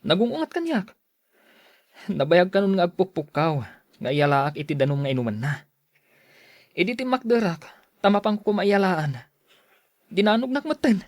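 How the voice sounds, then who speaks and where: A man's voice: high-pitched, very animated, normal speed, long pauses, no room sound, studio quality. A man playing an aggrieved but dutiful young son in an audio drama. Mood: resentful, upset.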